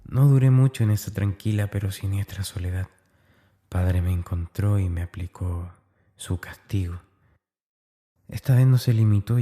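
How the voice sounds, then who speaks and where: A man playing a tormented, brooding young man in a confessional horror audio drama monologue, intense and breathy. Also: low voice, mellow, close to an everyday voice. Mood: eerily calm. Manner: intense, breathy, often dropping toward a whisper.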